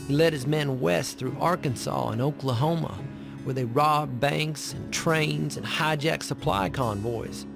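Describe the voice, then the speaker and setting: An earnest young, brother to gunslingers in a Western game. His voice is fairly high and very creaky, over prominent music. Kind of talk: dramatic Western game acting and narration. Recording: music behind the voice.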